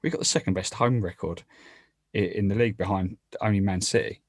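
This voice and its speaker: Male football podcast panellist, low voice, halting conversational delivery, dry close sound. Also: dry recording. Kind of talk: casual football punditry.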